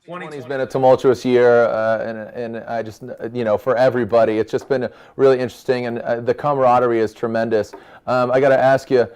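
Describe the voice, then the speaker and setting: Casual male awards host, mid-pitched, slangy and hyped. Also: fairly even intonation, fast, a little mellow, smooth. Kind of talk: casual virtual awards banter.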